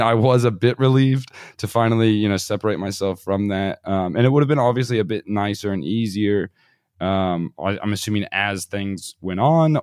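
A deep-voiced man talking as a podcast guest. He tells stories casually and laughs along as he goes. The recording is dry and close. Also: a little mellow, clean tone, no room sound. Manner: talkative, laughs while telling stories.

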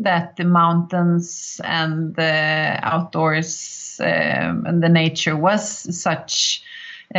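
A woman in her forties from Sweden with a Swedish accent, a podcast guest talking casually, pausing often with "uh" and laughing easily. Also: lowish voice, muffled recording. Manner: thoughtful, many "uh" pauses, laughs easily. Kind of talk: casual interview talk.

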